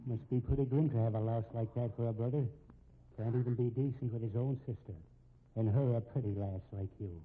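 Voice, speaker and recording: A man's voice: mid-range voice, fairly even intonation, a little fast, close to an everyday voice. An actor playing a kindly, folksy, dogged policeman in a 1950s radio drama. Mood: soothing. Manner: folksy, avuncular turns of phrase. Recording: tinny, hissy 1950s radio recording.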